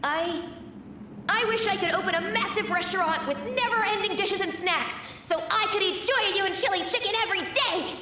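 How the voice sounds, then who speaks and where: An actress voicing an earnest little child who dreams of endless food. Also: female voice, very high-pitched, fairly quick, sharp tone, slight background noise. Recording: echoey, distant memory sound.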